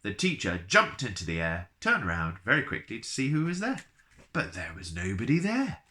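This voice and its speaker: A man reading a children's storybook aloud in an expressive, playful way, with chatty asides to the listener. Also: fairly low pitch, normal speed. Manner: playful, addresses listeners directly.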